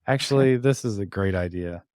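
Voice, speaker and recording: Male developer guest, somewhat deep, casual and joking, dry close recording. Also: normal speed, darkish tone, no echo. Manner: joking, teasing. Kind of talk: casual chat.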